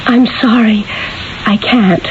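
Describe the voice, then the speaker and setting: An actress playing a demure, naive young merchant's wife in a 1970s radio drama, speaking simply, earnestly and hesitantly. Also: female voice, on the high side, fairly even intonation, takes it slowly. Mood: apologetic, helpless. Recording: dull old radio, faint hiss.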